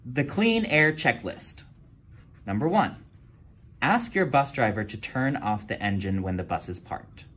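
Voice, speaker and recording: A man reading a children's picture book aloud indoors, with lively storytelling. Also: medium pitch, unhurried, breathy edge. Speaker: county environmental staff reading to kids. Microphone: camera filming book over shoulder.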